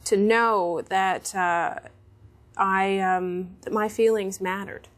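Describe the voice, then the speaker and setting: A woman giving a candid, reflective personal account, pausing hesitantly. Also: medium pitch, fairly even intonation. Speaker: wife in couples counselling.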